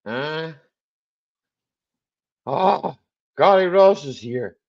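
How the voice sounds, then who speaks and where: A Highland Scottish man with a fairly high voice chats on a livestream, and his pitch jumps around a lot as he jokes and laughs. He peppers his talk with "aye" and "eh". The stream audio sounds dull and lo-fi. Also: animated, drawn-out words, vocal fry, darkish tone. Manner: jokey, laughs often, lots of "aye" and "eh". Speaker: livestream host. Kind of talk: casual live chat.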